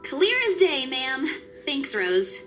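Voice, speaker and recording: A woman's voice: sits high, sing-song, slow pace, fairly bright tone, soft background music, full character voice. An actress voicing an earnest, polite young female real estate agent in a visual novel game. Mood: light, reassuring. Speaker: video game voice actor.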